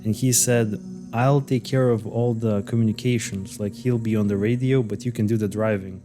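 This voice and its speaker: A man's voice: lowish voice, steady tone, moderate pace, music in the background. A man co-hosting a podcast, recounting a case in loose, hesitant casual chat full of fillers and restarts. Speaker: podcast co-host. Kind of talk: casual conversational storytelling.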